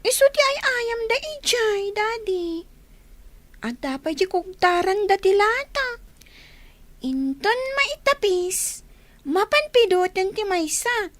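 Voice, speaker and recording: A woman voices a bored little child in a radio drama. The voice is piercingly high and bright, slow and whiny, with wide childlike swings. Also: big pitch swings, no room sound, heavily acted. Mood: curious. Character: bored whiny young child talking to Daddy.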